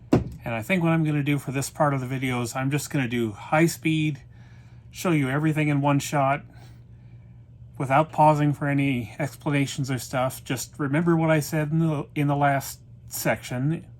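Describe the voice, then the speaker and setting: A man giving casual repair commentary at the workbench, informal and thinking aloud as he works. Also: medium pitch. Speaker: repair vlogger and host. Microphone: backup microphone, not the usual audio recorder.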